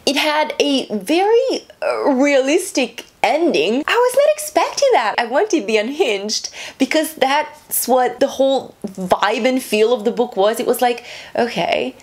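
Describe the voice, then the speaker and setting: A woman in her thirties chatting casually to camera about books she has read, animated and talking with her hands, recorded indoors on a camera in front of her. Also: sits high, a little slow. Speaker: book vlogger. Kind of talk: casual book review chat. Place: small indoor room, seated at camera.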